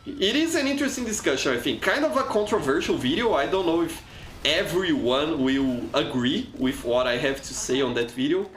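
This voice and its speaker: Brazilian man, Portuguese native, game streamer; chatty, stumbles and repeats words; a bit high-pitched; roomy sound, faint music. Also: expressive, moderate pace. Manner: chatty, frequent restarts and repeated words, reads chat aloud. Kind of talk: casual live gameplay commentary.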